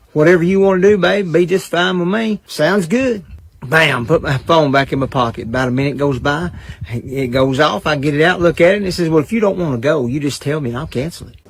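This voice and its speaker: High-pitched man telling folksy stories. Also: no room sound. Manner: colloquial, with spoken sound effects. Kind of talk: folksy comedic storytelling.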